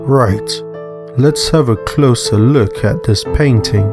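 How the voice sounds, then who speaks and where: A man's voice: medium pitch, takes it slowly, over music. A man voicing a nervous amateur sleuth in a visual novel, hesitant and muttering to himself. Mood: relieved, focused. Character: Dag, nervous male amateur sleuth thinking aloud.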